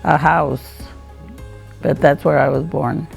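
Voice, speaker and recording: Slow, gravelly woman in her 80s. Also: deep voice, steady tone, faint music behind. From Iowa, United States. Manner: halting, searching for words, trailing off. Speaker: interviewee, grandmother. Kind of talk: casual family interview.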